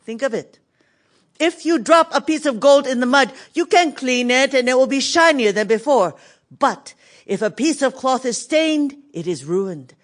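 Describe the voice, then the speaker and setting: An actress playing a dignified, resolute Cambodian government minister in a dramatic radio theatre monologue. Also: female voice, high-pitched, animated, a little slow. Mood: firm, indignant. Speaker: actress in an audio play.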